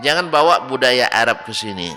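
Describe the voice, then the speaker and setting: A male Islamic preacher, with a lilt, giving a lecture and rising to loud, emphatic rebukes and mocking rhetorical questions. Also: medium pitch, animated, fairly quick. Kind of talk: religious study lecture. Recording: reverberant hall with faint background noise.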